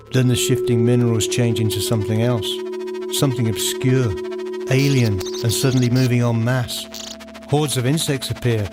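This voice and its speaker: A man reading scripted first-person literary narration in a measured, formal manner, as the narrator of a radio play. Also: mid-range voice. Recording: voice over prominent music. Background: music.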